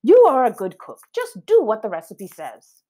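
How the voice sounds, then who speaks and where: A brisk lady of the house from a children's storybook, read by a woman. She is firm and bossy, instructing with animated, varied pitch.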